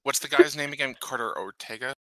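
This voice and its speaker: A man playing a tabletop game, chatting casually and haltingly. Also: mid-range voice, no room sound, rough recording.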